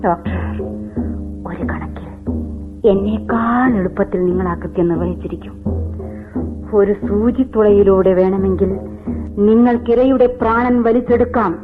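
A woman's voice: medium pitch, a little slow, long pauses, over music, lightly acted. An actress playing an anguished, accusing woman convict haunted by her killings, in a theatrical, declamatory radio drama style. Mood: bitter, brooding. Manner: dramatic, declamatory, swinging from hushed to crying out. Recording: tinny, phone-like old radio recording.

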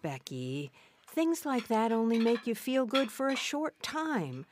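An actress playing a caring, devout middle-aged mother in an audio drama, gentle and earnest. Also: fairly high pitch, big pitch swings, unhurried, slight background noise.

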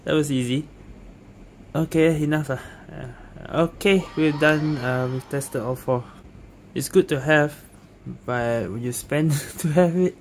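A woman's voice: deep voice, measured pace, a little mellow, a little hiss or hum. A woman giving casual gameplay commentary, thinking aloud in short reactive phrases. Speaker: video game streamer. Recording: game music behind the voice.

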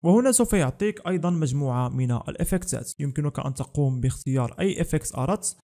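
A man's voice: on the high side, expressive, very fast, warm tone. A young man narrating a scripted tech tutorial as the host of a channel.

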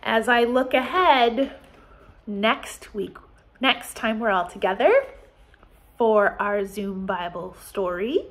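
A woman in her forties with an American accent speaks as a pastor to young children. Her voice sits somewhat high, and she moves very slowly through simple, deliberate phrases, as in a storytime lesson. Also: a bit bright. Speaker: pastor leading children's Bible story time. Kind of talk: children's storytime, reading and explaining. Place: small office, indoors.

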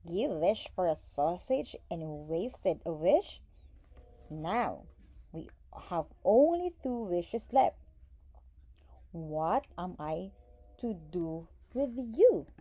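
A woman's voice: medium pitch, animated, slow pace, warm tone, breathy edge. A woman voicing a nagging, exasperated wife in a storybook, full of exclamations. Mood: scolding. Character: scolding, shrill, exasperated woodsman's wife. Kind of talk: storybook character voice.

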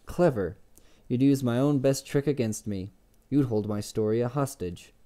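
A bitter, guarded innkeeper from an audiobook tale, acted out by a male reader. He speaks in a dark, relaxed tone at middling pitch, curt and sarcastic, used to setting the terms. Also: normal speed, close to an everyday voice. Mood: guarded, probing. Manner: curt, cool, commanding.

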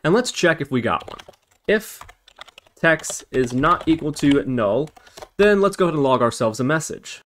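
A man's voice: fairly high pitch, animated, moderate pace, a bit bright, slight background noise. Man narrating a coding tutorial. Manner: explanatory, thinking aloud while typing. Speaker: programming tutorial instructor. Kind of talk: step-by-step screencast tutorial narration.

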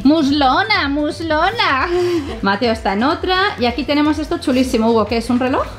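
A woman's voice: high-pitched, lively intonation, moderate pace. A mother giving a chatty, playful house tour, laughing often, outdoors by a pool over background music. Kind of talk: casual family vlog narration.

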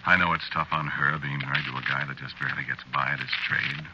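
A man's voice: a bass voice, steady tone, fast. A man playing a meek, worried working husband, narrating and acting in a 1940s radio drama in a hesitant, mild, conversational way. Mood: wistful, confiding. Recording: very noisy, muffled 1940s radio recording.

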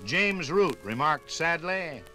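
An American man reads scripted narration for a 1950s radio documentary. His voice sits somewhat high, with dramatic, deliberate phrasing over clearly audible music. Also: animated, sharp tone.

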